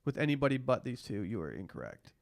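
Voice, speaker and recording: A man's voice: mid-range voice, sing-song, fast talker, creaky, no echo, studio quality. A man hosting a casual podcast chat about a reality TV show. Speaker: podcast host.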